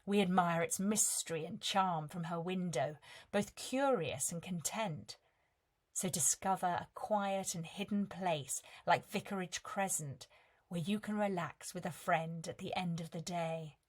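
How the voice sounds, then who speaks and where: A woman reading a prose letter aloud in a measured, expressive storytelling manner. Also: fairly low pitch. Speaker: reader of a competition entry.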